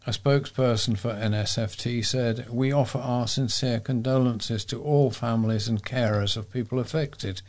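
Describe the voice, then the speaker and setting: Male volunteer newsreader, mid-pitched, for a local talking newspaper. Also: fairly even intonation, normal speed, few pauses, a little mellow. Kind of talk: read-aloud local news.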